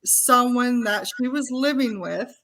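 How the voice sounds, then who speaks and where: A woman's voice: on the high side, takes it slowly, fairly bright tone. An older woman from Canada whose first language is Italian, talking casually as a podcast guest over a video call, chatty and prone to restarts. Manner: chatty, laughs often, frequent restarts. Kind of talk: casual interview chat.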